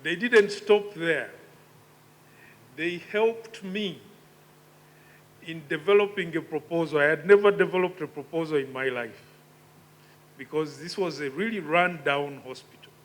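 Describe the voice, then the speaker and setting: Man giving a measured conference speech. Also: a little high. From Uganda. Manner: measured, reflective, with emphatic rises. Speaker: conference speaker. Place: conference hall.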